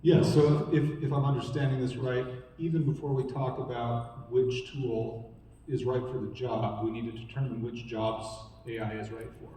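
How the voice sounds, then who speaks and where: A male panel moderator with a mellow, dark-toned voice sums up answers and asks the next question fluently. He is picked up from far off in a big hall with a long echo. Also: mid-range voice, fairly even intonation, normal speed, slight background noise. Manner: fluent, summarizing, posing questions. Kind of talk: panel discussion. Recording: echoey hall, distant mic.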